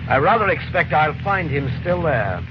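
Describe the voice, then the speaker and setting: An actor playing a jealous, armed husband with calm, sardonic politeness in an old-time radio thriller. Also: male voice, on the high side, moderate pace. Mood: coolly menacing. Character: menacing jealous husband with a gun, coolly polite. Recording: dull, noisy old radio recording.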